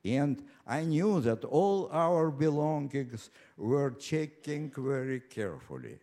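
A man of ninety-nine from Ukraine with a Russian accent, telling his own story live in a halting, deliberate way with repeated words and pauses. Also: mid-range voice, sing-song, dark tone. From Ukraine, later Moscow. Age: 99, nearly one hundred. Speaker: personal storyteller. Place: live stage before an audience.

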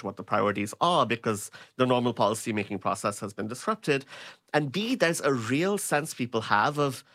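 A man's voice: mid-range voice, fairly bright tone. A man with a mid-range voice gives analytical commentary as a reporter on a panel. His delivery is explanatory, punctuated by short checking questions. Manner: explanatory, often checks with "right?". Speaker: journalist, panel guest.

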